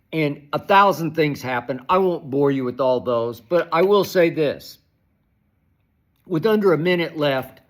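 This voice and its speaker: An older man hosts a solo sports talk segment, his voice hoarse and worn from shouting. He rants with exasperation, sitting a little high in pitch, and trips over his words, repeating them as he pushes on. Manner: exasperated, ranting, halting restarts. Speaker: sports talk show host. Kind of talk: animated solo sports commentary.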